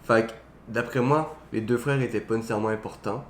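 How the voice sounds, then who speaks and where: Young adult male, Quebec accent, mid-pitched casual conversation with English slang, faint noise and room echo. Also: fairly even intonation, fairly quick. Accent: Quebec French. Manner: colloquial, drops in English words. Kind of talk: casual chat about films.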